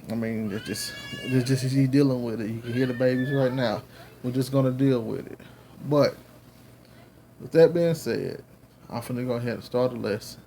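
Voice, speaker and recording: A man's voice: medium pitch, gravelly, dark tone, a little hiss or hum. A man with a Southern accent giving a Bible lesson at home, earnest and rambling with many fillers. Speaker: Bible teacher. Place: home with children and babies around.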